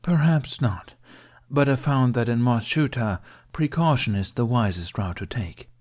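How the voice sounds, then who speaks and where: A man voicing a seasoned, dryly formal Jedi master with a measured manner in an audiobook. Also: medium pitch, animated, darkish tone, pitched above the performer's own voice. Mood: cautious, instructing. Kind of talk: audiobook character dialogue.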